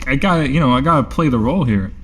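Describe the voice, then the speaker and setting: A man's voice: mid-range voice, lively intonation, rapid-fire, fairly bright tone, husky. Playfully argumentative male tabletop player. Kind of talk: casual banter and improvised role-play.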